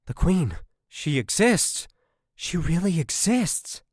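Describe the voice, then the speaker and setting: A man voicing an earnest female military scientist in an audiobook, faithful yet excitable. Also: fairly high pitch, slow pace, breathy edge, no echo, studio quality, pitched above the performer's own voice, exaggerated voice. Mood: excited awe.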